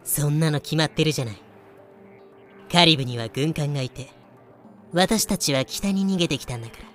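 A woman's voice: low-pitched, a little fast, faint music behind, natural voice. A woman playing a bloodthirsty yet composed female pirate captain in an audio drama, commanding and teasing. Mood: calm, commanding. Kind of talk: fan-made audio drama acting.

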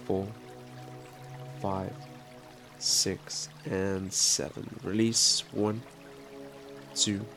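A man with a stuffy, congested voice leads a slow guided breathing meditation in a low, even tone. Soft music plays clearly behind him. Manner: calm, unhurried counting and instruction. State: congested from a head cold. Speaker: meditation guide and podcast host. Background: soft background music.

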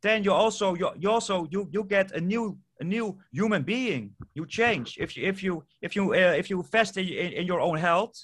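A man from the Netherlands with a Dutch accent, a guest speaking English as a second language, talking haltingly with many fillers and repeated words. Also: high voice, expressive, fairly bright tone. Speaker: guest, permaculture project founder. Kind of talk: casual interview answers.

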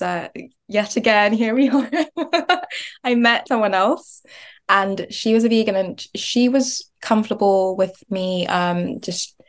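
A young woman from Nigeria talking chattily as a podcast guest, often laughing and restarting words. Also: medium pitch. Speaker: guest, business founder. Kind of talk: podcast interview.

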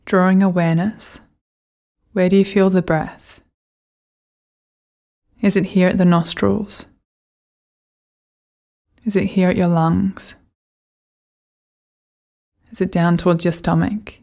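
A woman leading a guided meditation, giving gentle, soothing instructions with unhurried pauses. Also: lowish voice, little pitch movement, creaky.

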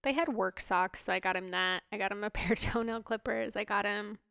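Stuffed-up woman with a gravelly voice. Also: medium pitch, steady tone, brisk, fairly bright tone, no echo, clean studio sound. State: stuffed up nose. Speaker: podcast co-host. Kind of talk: casual chat.

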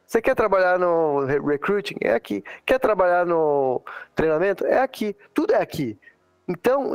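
A man from Brazil, a podcast guest telling his story in a relaxed, chatty way. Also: fairly high pitch, no room sound. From Santa Catarina, southern Brazil. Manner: relaxed storytelling, frequent "cara" and "né". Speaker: podcast guest, airline pilot. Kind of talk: casual podcast chat.

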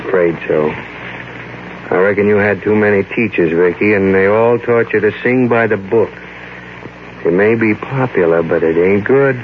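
An actor playing a laconic jazz bandleader in an old radio drama, folksy and plainspoken. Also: male voice, lowish voice, unhurried, a little hiss or hum, lightly acted. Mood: blunt, measured. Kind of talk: 1940s radio acting. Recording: tinny old radio recording, music behind.

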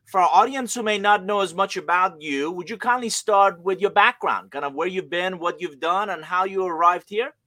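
A man's voice: high-pitched, moderate pace, few pauses, pushed and bright, no echo. A man hosting a business podcast, friendly and upbeat as he welcomes a guest and asks questions. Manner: upbeat and friendly, polished interviewer. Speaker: podcast host.

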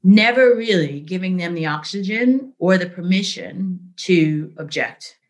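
A middle-aged woman speaking as an interview guest, telling candid work stories in a lively, conversational way, often asking "right?". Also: lowish voice, measured pace. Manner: animated, frequent "right?" and "like", laughs easily. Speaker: interview guest, business leader.

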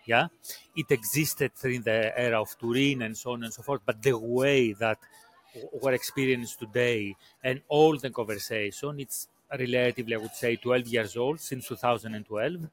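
A man from Greece with a Greek accent, a podcast guest telling his career story in a halting way, often repeating words and restarting sentences. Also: mid-range voice. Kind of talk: casual interview storytelling.

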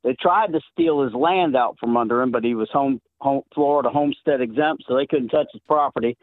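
A man's voice: mid-range voice, fairly quick, dry recording. Chatty Southern man on a phone line. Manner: chatty storyteller, laughs often. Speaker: caller. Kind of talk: call-in show chat.

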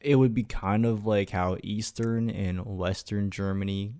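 A man's voice: on the low side, fairly even intonation, a little slow, warm tone. A man co-hosting a podcast, chatting casually and asking curious questions, often apologizing as he cuts in. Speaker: podcast co-host. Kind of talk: casual chat.

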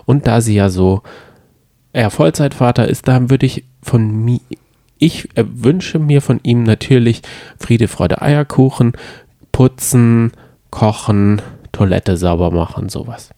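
A man's voice: medium pitch, a little slow, warm tone, dry recording, clean studio sound. A man co-hosting a podcast in casual chat, rambling and often trailing off mid-sentence. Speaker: podcast co-host.

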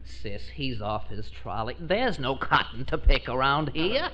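Whiny timid man, slow delivery, complaining, radio sitcom, very noisy old recording. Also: mid-range voice, very animated, lo-fi sound. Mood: grumbling. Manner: complaining, sardonic. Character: whiny, grumbling, timid brother-in-law. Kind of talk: 1940s-50s radio comedy acting.

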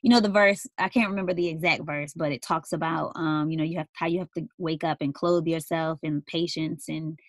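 A young woman in casual conversation with her mother, talking thoughtfully with many pauses and fillers. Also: deep voice, fairly even intonation, no echo. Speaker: daughter interviewing her mother.

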